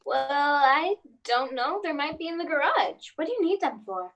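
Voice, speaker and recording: A woman's voice: high-pitched, sing-song, moderate pace, fairly bright tone, full character voice. A woman playing an anxious, hesitant suburban housewife and mother in a radio play. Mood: worried. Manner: hesitant, brooding, theatrical.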